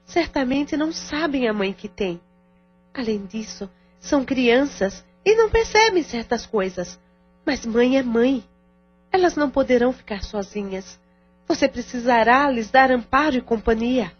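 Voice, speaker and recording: Woman acting a high-voiced, stern sister. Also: lively intonation, unhurried. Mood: stern, concerned. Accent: Brazilian. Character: stern, indignant but supportive sister of a wayward man. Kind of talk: audio novela acting. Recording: dull lo-fi audio with music bed.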